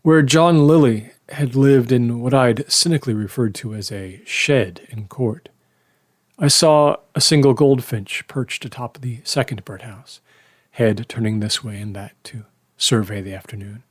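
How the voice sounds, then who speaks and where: An actor playing a reflective lawyer, narrating a horror audio drama in the first person with a measured, wistful, understated delivery. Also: male voice, medium pitch, no echo, studio quality, close to an everyday voice. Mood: wistful, somber. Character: reflective male lawyer recalling an old murder trial.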